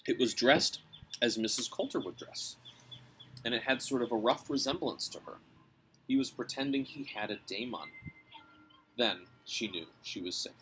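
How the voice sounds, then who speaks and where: A man's voice: mid-range voice, moderate pace, long pauses, sharp tone, rough recording. A man in his thirties reading a story aloud on a porch, recorded by a camera a little way off. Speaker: reader of a children's novel. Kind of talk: read-aloud storytelling with brief asides. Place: outdoors on a covered porch. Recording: clear outdoor ambient noise.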